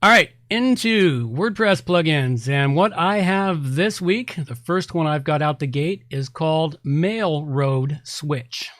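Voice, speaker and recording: A man's voice: on the high side, animated, slow, few pauses, a bit bright, dry recording. A man hosting a podcast, talking casually and informally through reviews with chatty asides. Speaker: podcast host.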